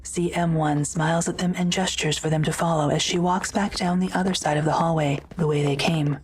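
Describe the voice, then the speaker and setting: Casting: female audio drama narrator, very low register, scripted delivery, slight background ambience. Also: steady tone, a little fast, a little hiss or hum.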